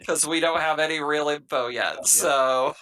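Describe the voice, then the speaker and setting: A man's voice: on the high side, measured pace, bright, forceful tone, smooth, low-quality recording. A man playing a tabletop roleplaying game, talking casually and describing what his character does.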